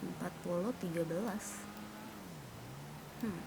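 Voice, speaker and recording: A woman chatting casually and excitedly as she plays a game with friends, giggly and full of slang. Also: on the low side, slow, gravelly. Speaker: streamer and roleplay gamer. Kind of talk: casual gameplay chat with friends. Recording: noisy game voice chat.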